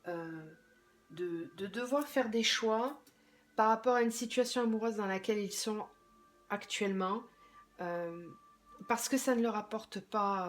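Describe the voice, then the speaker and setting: A woman with a slightly hoarse voice does a tarot reading at a mid pitch, neither high nor low. She thinks aloud as she goes, hesitant and unhurried, with her sentences often sinking to a softer, quieter voice. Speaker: tarot card reader.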